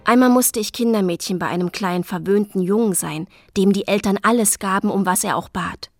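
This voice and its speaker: A gentle royal companion played by a woman with a mid-pitched voice. She talks deliberately, like someone telling a tale in a radio play, over faint music. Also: no echo, studio quality, natural voice. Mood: reflective. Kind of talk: radio drama storytelling.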